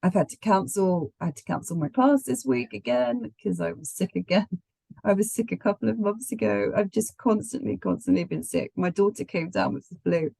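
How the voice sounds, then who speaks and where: Woman astrologer, sick and croaky, casual and chatty, lots of ums. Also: mid-range voice, normal speed, dark tone, no echo. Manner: chatty, laughs often, many ums. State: croaky from the flu. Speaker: astrologer co-host.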